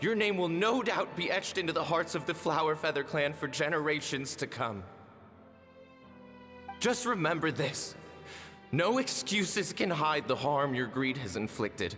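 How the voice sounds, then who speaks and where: A fairly high male voice, swinging widely with feeling, plays a loyal, righteous younger brother in a fantasy game. He is intense and full of wounded reproach. His words ring in long reverb. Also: expressive, unhurried, fairly bright tone. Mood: bitter, reproachful. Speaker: voice actor in a video game. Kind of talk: dramatic game dialogue. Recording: long reverb, music underneath.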